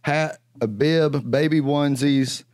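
A man's voice: on the high side, steady tone, takes it slowly, darkish tone, no echo, studio quality. A man co-hosting a casual podcast, joking and rambling with lots of fillers. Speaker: podcast co-host. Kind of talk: casual chat.

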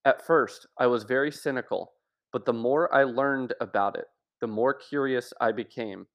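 Guy reading an essay out loud, steady and measured, mid pitch. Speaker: article narrator. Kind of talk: scripted narration of an essay.

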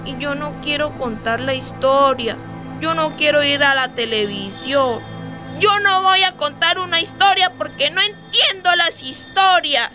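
A woman's voice: high-pitched, unhurried. An actress playing a playful, wistful eight-year-old girl in a radio drama monologue, speaking in a simple, childlike way. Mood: reluctant, worried. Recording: music behind the voice.

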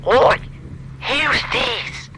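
A man's voice: very high-pitched, extremely slow, noisy background, pitched above the performer's own voice, full character voice. A man voicing a brash, foul-mouthed woman. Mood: angry. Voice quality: husky. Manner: aggressive, swearing. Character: husky-voiced woman phone caller, brash and foul-mouthed.

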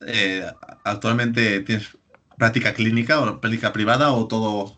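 Male host, lower voice, easygoing and friendly interviewer. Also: steady tone, unhurried, sharp tone. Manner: friendly, laughs often. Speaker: podcast host. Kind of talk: podcast interview hosting.

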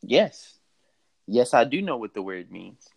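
A man's voice: mid-range voice, very animated, a little slow, creaky, husky, full character voice. Male storyteller as homeless man, knowing, cryptic, reassuring, repetitive, informal spoken story. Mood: knowing, assured.

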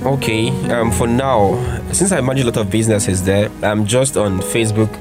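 A man from Nigeria, a student entrepreneur answering interview questions slowly and deliberately, with hesitant restarts. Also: lowish voice, fairly even intonation, loud music behind the voice.

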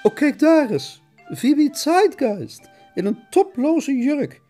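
A man's voice: very high voice, sing-song, slow pace, dark tone, soft background music, voice pushed up, heavily acted. A man voicing an old baroness, a tipsy and grandly amused socialite with an affected, exclaiming manner. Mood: gushing, amused.

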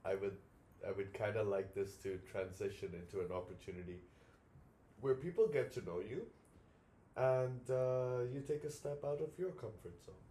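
A man's voice: lowish voice, lively intonation, normal speed, warm tone. A man chatting as a podcast guest, laid-back and casually swearing.

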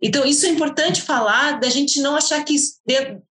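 A woman's voice: sits high, brisk, a bit bright, echoey room. A woman speaking as a guest historian in a casual interview, rambling with many restarts and fillers.

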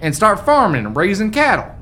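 An actor playing a folksy, forgetful old American rancher's ghost in a family radio play, with rustic old-timer phrasing. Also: male voice, high voice, huge pitch swings, brisk, pushed and bright, a little hiss or hum, exaggerated voice. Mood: proud, reminiscing. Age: older.

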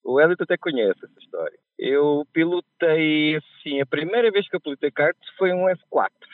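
A man from Portugal with a Portuguese accent softened by Brazilian speech, telling stories with a laugh as a podcast guest. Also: fairly high pitch, lively intonation, moderate pace, dry recording. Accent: Portuguese, softened by years in Brazil. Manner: chatty, laughs at his own mishaps. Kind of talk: casual storytelling. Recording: tinny phone line.

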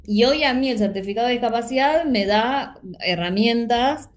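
A woman's voice: fairly high pitch, moderate pace. An Argentine woman with a Rioplatense accent, speaking earnestly in a casual panel discussion with frequent fillers. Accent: Rioplatense Spanish. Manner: earnest, animated, many fillers.

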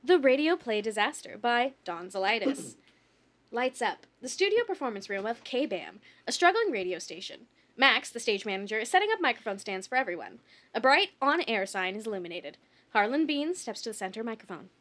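A woman's voice: fairly high pitch, big pitch swings, brisk, fairly bright tone. A woman hosting a podcast and narrating, moving between casual chat and read stage directions.

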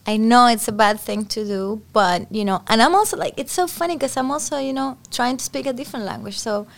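Chatty woman, slightly high. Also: fairly quick, gravelly. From Cuba. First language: Spanish. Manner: chatty and expressive, laughs often, many "like" and "you know" fillers. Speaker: podcast guest. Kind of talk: casual conversation.

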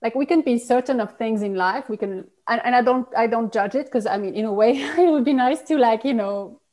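A woman's voice: a little high, a little fast, darkish tone. A woman, a guest in a conversational interview, talking reflectively with many restarts and fillers. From Switzerland. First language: French.

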